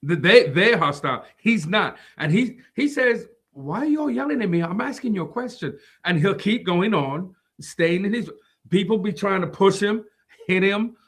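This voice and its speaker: Male co-host, loud natural voice, pitched a little high, animated storyteller. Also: moderate pace, breathy edge. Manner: animated storyteller, acts out quotes, laughs often. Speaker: podcast co-host. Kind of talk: casual faith discussion.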